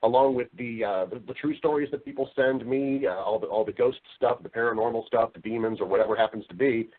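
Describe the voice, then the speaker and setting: A man's voice: medium pitch, fast talker, phone-quality sound. A man speaking as a voiceover professional and interview guest, chatty and conversational, with some self-deprecating humor.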